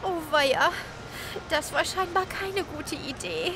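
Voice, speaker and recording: A woman's voice: sits high, takes it slowly, noisy background. A woman voicing a cheerful, friendly little female cloud in a children's audio drama, talking with wonder and enthusiasm. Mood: worried.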